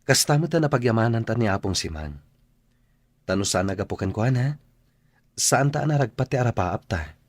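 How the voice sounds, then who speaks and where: An actor playing an earnest, tender young man in an Ilocano radio drama, speaking sincerely and deliberately with an Ilocano accent. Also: on the low side, expressive, no echo. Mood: earnest, encouraging.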